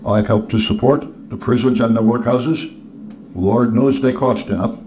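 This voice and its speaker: A man's voice: mid-range voice, steady tone, normal speed, a little mellow, a little hiss or hum, lightly acted. A man voicing a sour, miserly old businessman in a storybook, curt, stern and sneering. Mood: cold, dismissive.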